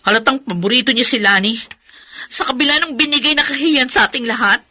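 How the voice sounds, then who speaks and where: A bitter older sister in a Filipino radio drama. Her voice is high and cuttingly bright, and she speaks with scorn and accusation. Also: brisk, full character voice. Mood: indignant. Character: resentful, sharp-tongued older sister scorning her younger sister.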